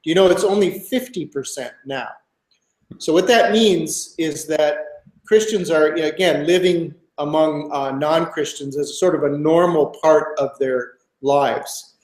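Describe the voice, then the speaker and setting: Brief: man in his 60s from Minnesota, interview guest, thoughtful and hesitant, slightly high voice. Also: a little slow, a little mellow, echoey room, rough recording. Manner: thoughtful, many fillers and restarts. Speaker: guest author, professor. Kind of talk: relaxed interview answer.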